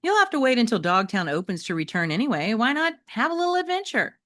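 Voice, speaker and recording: A woman's voice: on the high side, very animated, quick pace, fairly bright tone, no echo, voice pushed up. An eager storybook dog, acted out by a woman reading aloud, speaking well above her own register. The delivery is coaxing, urgent and pleading, pushing to be agreed with. The sound is dry, with very little room. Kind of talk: children's novel read-aloud.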